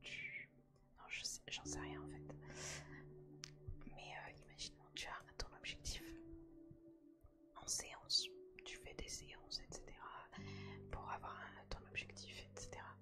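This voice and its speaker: A woman whispering a casual ASMR chat to her viewer, hushed, gentle and reassuring. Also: measured pace, long pauses. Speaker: ASMR video host.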